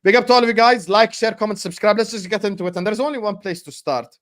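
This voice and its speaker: A man's voice: high voice, fast talker, fairly bright tone. A man hosting a football livestream with informal, teasing banter, reading out viewer messages and steering the panel. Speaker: livestream host.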